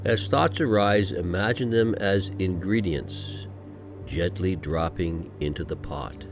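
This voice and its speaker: Low voiced guy doing a guided meditation, really slow and gentle, lots of pauses, bit of music behind. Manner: gentle, unhurried, soothing, with frequent pauses. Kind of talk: guided meditation, scripted narration.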